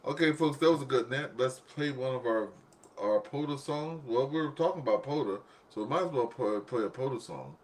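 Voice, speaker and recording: A man's voice: medium pitch, animated, a little fast. Male radio net host, casual and conversational, acts out little exchanges as he tells stories.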